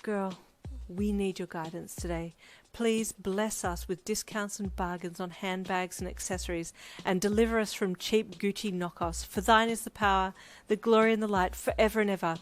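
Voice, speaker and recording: A woman's voice: mid-range voice, fairly even intonation, moderate pace, natural voice. A woman voicing a flamboyant, affectionate, gossipy man in an audio story, with camp, emphatic delivery and sing-song endearments. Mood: mock-solemn, sassy.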